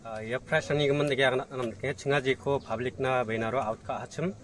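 A man's voice: medium pitch, steady tone, fairly quick, distant mic, slight background noise. A man in his thirties, an event organizer, answering interview questions outdoors by a pond in a hesitant way, often opening with "ah". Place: outdoors by a rural fish pond.

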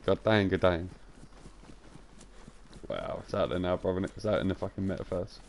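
A young man with a croaky voice, joking crudely and chatting casually while live-streaming a game. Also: deep voice, animated, moderate pace, a little hiss or hum. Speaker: gaming streamer.

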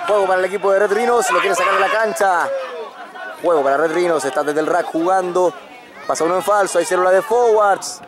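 A man with a Chilean Spanish accent giving live play-by-play commentary on a rugby match outdoors, quick and excited at the big moments. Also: a crowd in the background. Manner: quick, excited calls of the action, pitch jumping up on big moments.

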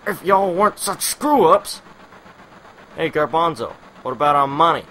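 A man's voice: a little high, lively intonation, moderate pace, bright, forceful tone, slight background noise, pitched above the performer's own voice. A man voicing an angry, scolding male gang leader from a video game, with a folksy drawl. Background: video game music.

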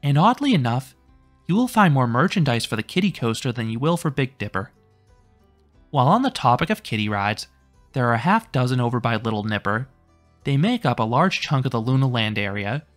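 A man's voice: mid-range voice, fairly quick. Man narrating a theme park review. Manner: even, matter-of-fact, informative. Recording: voice-over with faint music behind. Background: faint music.

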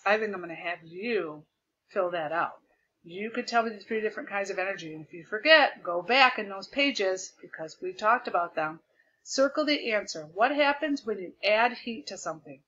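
A woman teacher projecting her voice loudly as she explains a lesson step by step over a video call, repeating the key points. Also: mid-range voice, expressive, normal speed, breathy edge, muffled recording. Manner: loud projected voice. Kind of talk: remote classroom lesson. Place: home desk with a document camera. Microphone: computer mic on a video call.

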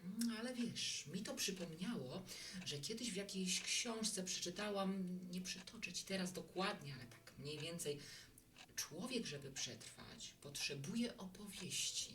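A hospitable woman runs a little bar in an audio drama, played by an actress. Her voice is on the low side and moves in lively swings, musing as she chats. She sounds set back from the mic. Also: unhurried, recorded from a distance. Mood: reflective. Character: hospitable, reflective woman running a small bar. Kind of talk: Polish audio drama dialogue.

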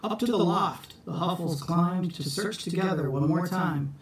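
A middle-aged man reads a children's picture book aloud in an expressive, theatrical, deliberate storytime manner. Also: high voice. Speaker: storytime reader for schoolchildren. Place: small room at home, indoors. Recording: echoey room, distant phone mic.

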